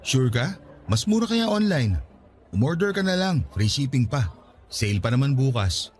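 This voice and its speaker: A man in a radio-style drama playing a defensive, exasperated young husband who answers with rapid, incredulous retorts. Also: mid-range voice, big pitch swings, a little mellow, natural voice. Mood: casual.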